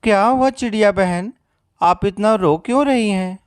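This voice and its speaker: A man's voice: sits high, moderate pace, clean tone, dry recording, studio quality, natural voice. A man voicing a kindly, protective male crow in a cartoon, speaking in an earnest, brotherly manner. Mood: concerned. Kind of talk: Hindi moral-story cartoon acting.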